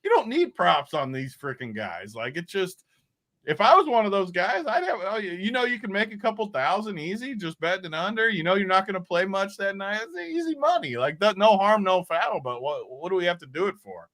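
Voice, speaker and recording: A man's voice: high-pitched, sing-song, fairly quick, a bit bright. A man co-hosting a sports betting show, chatting casually and laughing often.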